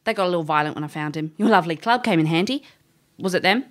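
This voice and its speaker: A woman's voice: fairly low pitch, animated, rapid-fire, close to an everyday voice. A woman playing a brash, hot-headed investigator in an audio drama, righteous and flippant, with a casual, blunt manner. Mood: blunt, commanding. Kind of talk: audio drama acting and first-person narration.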